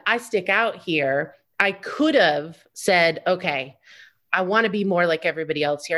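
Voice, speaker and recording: A woman in her thirties speaking as a guest in a casual podcast chat, outspoken and telling stories with acted-out quotes. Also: lowish voice. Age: 30s. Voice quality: loud voice. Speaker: interview guest, wedding business owner.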